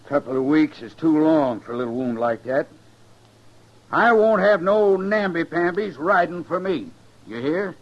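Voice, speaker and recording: An actor playing a gruff, proud old rancher with a halting, blustery manner in a 1950s radio western. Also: male voice, a little high, lively intonation. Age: older. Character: gruff, proud old male rancher, awkwardly soft-hearted. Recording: muffled 1950s radio recording.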